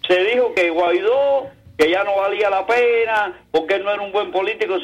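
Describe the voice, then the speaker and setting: A Cuban man with a high voice gives his political opinions as a caller on talk radio. His delivery is colloquial and sardonic, leaning on street idioms and pointed sayings. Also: fairly quick, lo-fi sound.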